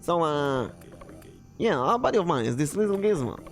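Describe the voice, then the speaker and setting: A man's voice: fairly high pitch, big pitch swings, normal speed, a little hiss or hum, voice pushed up. A man voicing a folksy, easygoing local boater in a video game, rambling and colloquial. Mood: persuasive, rambling.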